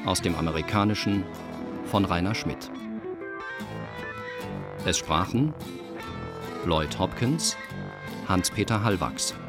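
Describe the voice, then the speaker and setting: A man with a very low voice announces radio drama credits. He reads in a slow, scripted way over plucked guitar music.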